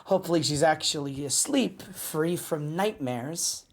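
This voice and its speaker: A woman voicing a cocky, gruff but motherly lycan mercenary in an audio drama, with a teasing, sardonic drawl. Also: low voice, expressive, measured pace, exaggerated voice. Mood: softer, caring. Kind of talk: scripted audio roleplay drama.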